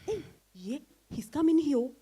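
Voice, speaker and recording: Actress as a high, lively, frank Ghanaian best friend. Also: female voice, normal speed. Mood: excited, curious. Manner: emphatic, chiding, sisterly. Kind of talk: dramatized reading of a novel.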